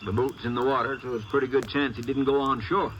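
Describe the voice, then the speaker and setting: An actor playing a plain-spoken, practical small-town sheriff with an American accent and folksy manner in a vintage radio drama. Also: male voice, mid-range voice, steady tone, quick pace, a bit bright, lo-fi sound, natural voice. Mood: pondering. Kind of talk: 1950s radio drama acting. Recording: noisy vintage radio recording.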